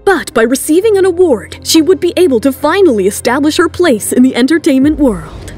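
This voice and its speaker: A woman's voice: sits high, lively intonation, a little fast, darkish tone. A woman reading scripted, dramatic third-person narration for an audio drama. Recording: music bed under the voice. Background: background music.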